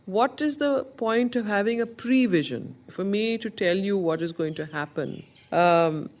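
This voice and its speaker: A woman teaching a study circle, explaining in a deliberate, emphatic way and reciting poetry slowly. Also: fairly low pitch.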